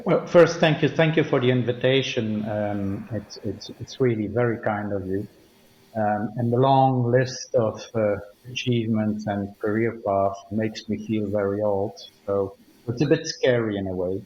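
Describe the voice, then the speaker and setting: A man, a native Dutch speaker, answering interview questions in a thoughtful, hesitant way with many pauses. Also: lowish voice, darkish tone, echoey room, highs cut off, slight background noise. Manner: thoughtful, hesitant with frequent ums. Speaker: guest, company legal officer.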